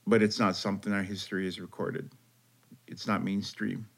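Male interview subject with a gravelly, lowish voice, reflective and halting. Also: level tone. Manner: halting, thoughtful, with restarts. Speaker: interviewee, grandson of a veteran.

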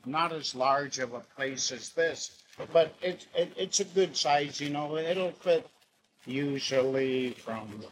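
A man speaks slowly in a hesitant, rambling way, often stopping to search for words. Steady noise runs clearly behind his voice. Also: fairly high pitch, poor audio. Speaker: carver. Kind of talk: documentary interview.

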